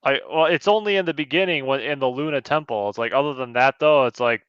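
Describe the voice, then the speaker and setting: Guy on a movie podcast, bright punchy voice, chatting casually, audio a bit muffled. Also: medium pitch, fast, clear, resonant tone, no room sound. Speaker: podcast panelist. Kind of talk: casual film review discussion.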